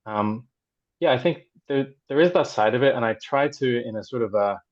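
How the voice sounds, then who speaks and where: A man from Ireland, a translator on a panel, answering thoughtfully with many pauses and restarts over a video call. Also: medium pitch, lo-fi sound. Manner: hesitant, many ums and restarts. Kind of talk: conversational answer in a panel discussion.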